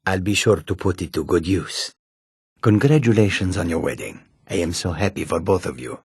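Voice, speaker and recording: A proud, sly feline mage from a fantasy video game. The voice is deep and very raspy. The phrasing is formal and measured, and the recording is dry and close. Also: male voice, animated, no echo, exaggerated voice. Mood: polite, reserved. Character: proud, sly male cat-person mage, speaks in third person. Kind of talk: video game character dialogue.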